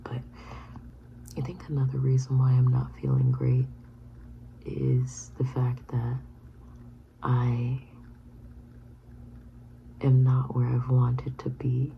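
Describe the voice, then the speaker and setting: A woman in her thirties whispering a personal vlog, halting and reflective. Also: very deep voice, level tone, vocal fry, dull audio, slight background noise. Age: 30s. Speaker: vlogger.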